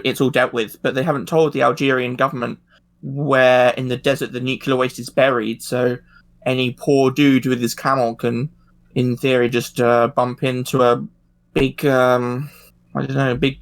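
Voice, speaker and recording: A man in a casual panel discussion, speaking informally and haltingly with pauses mid-phrase. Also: medium pitch, vocal fry.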